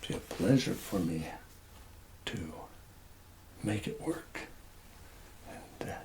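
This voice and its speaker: Slow, soft-spoken man drifting into whispers. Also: on the low side, expressive, gravelly. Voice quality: soft voice, often dropping into whispers. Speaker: ASMR performer playing a tax collector. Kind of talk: soft-spoken ASMR roleplay.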